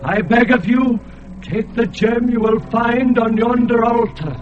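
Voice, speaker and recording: An actor playing an ancient, blinded alien god who is enslaved and sorrowful, speaking in a grave, chanting way. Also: male voice, very high voice, phone-quality sound, background noise. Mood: sorrowful, solemn. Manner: slow, grave, chanting delivery. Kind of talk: radio drama acting.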